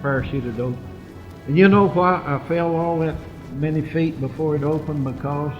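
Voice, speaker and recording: Older male veteran, mid-pitched voice, earnest storytelling, deliberate dramatic pauses, prominent music underneath. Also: muffled recording. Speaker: war veteran. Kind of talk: personal testimony storytelling.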